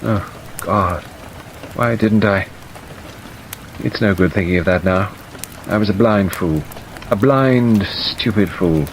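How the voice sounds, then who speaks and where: A man's voice: lowish voice, slow pace, pauses often. A man voicing a bitter, grieving, jealous young woman in an audiobook, emphatic and repeating phrases. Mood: anguished self-reproach. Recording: steady rain noise over the voice. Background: rain ambience.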